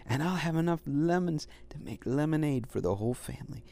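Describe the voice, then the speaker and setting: A man voicing a young, polite puppy boy who is eager and excited, in a bedtime storybook. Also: brisk, vocal fry. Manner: high, excited, childlike pleading.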